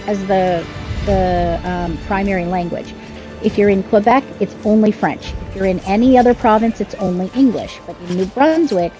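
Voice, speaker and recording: A woman, an author talking as a guest on a live stream, explaining earnestly and often restarting her sentences. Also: medium pitch. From Canada. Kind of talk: casual conversation on a live stream.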